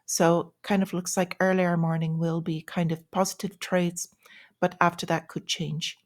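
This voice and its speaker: A woman hosting an informal market news update, explaining things in short, measured phrases with small pauses. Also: fairly low pitch, little pitch movement. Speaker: host of a daily finance update show.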